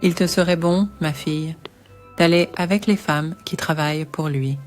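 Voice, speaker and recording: A woman playing an older, devout mother-in-law who gives caring, measured advice in an animated Bible story. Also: low-pitched, soft background music, lightly acted. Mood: calm, instructing.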